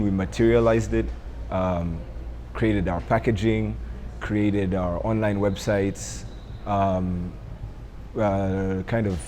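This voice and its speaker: Young entrepreneur from Rwanda, deep voice, unhurried and hesitant in interview. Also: male voice, dark tone, a little hiss or hum. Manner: hesitant, many ums and uhs. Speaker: coffee entrepreneur. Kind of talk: interview answers.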